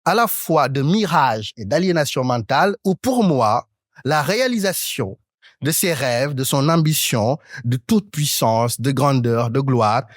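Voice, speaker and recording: A man in his thirties from Senegal, speaking as a talk show panelist in an articulate, academic way, often pausing on hesitant fillers. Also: mid-range voice, lively intonation, dry recording, clean studio sound. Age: 30s. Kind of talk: panel discussion.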